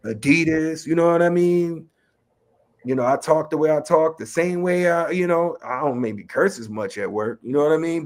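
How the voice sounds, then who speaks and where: Chicago west side guy w a bit of new york in his accent, easygoing, keeps saying you know what i mean. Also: a little high. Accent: Chicago West Side, slight New York. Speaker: rapper and educator, guest. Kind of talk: casual interview talk.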